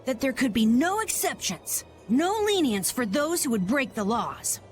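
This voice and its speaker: A woman's voice: high-pitched, sing-song, normal speed, a little mellow. A woman playing an earnest, unsure but determined young boy in a video game cutscene. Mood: troubled, resolving. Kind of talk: English game cutscene voice acting. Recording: music behind the voice.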